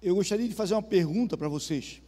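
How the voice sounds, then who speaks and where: High-voiced southern Brazilian pastor. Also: male voice, fast. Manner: conversational, asks the congregation questions. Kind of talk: sermon. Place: church hall. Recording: reverberant church hall with soft music.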